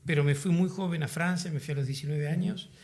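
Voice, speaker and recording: A man with a Uruguayan Spanish accent, a playwright guest in an interview, speaking in a reflective, measured way as he searches for words. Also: a little high, darkish tone. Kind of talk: interview conversation.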